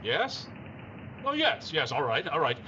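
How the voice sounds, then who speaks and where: Man playing a lively, dithering newspaper owner. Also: on the high side, unhurried, full character voice. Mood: distracted, agreeable. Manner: hemming, absent-minded, repeats himself. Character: dithering, golf-mad male newspaper owner, easygoing. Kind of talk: 1950s radio drama acting. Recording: dull band-limited sound, noisy background.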